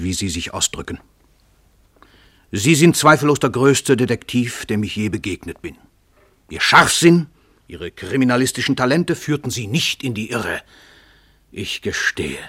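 Smug suave killer writer, radio play, deepish rasp, theatrical and ironic, talking down. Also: male voice, a bit bright, no room sound. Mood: suave menace, then grand, amused confession. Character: suave, cynical, grandiose celebrity writer and murderer.

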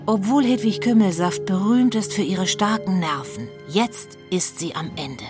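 A woman playing the storyteller in a spooky children's radio drama, husky and suspenseful in her emphatic narration. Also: mid-range voice, measured pace, music in the background, close to an everyday voice.

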